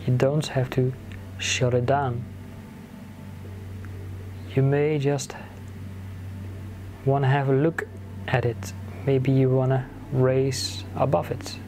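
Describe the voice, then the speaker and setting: Man, meditation guide, medium pitch, flat calm intonation, soothing short phrases, long pauses, background ambient noise.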